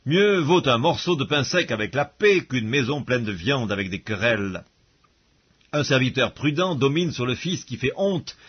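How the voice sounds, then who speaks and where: A man reads scripture aloud as an audiobook narrator, solemn and measured, with formal diction, on a dull recording. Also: mid-range voice, husky.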